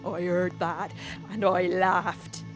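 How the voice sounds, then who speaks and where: An actress playing an anguished, grief-crazed heroine in a Victorian melodrama, haunted and theatrical, swinging between hushed and wild delivery. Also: female voice, lowish voice, level tone, slow pace. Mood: frenzied, unhinged. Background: music.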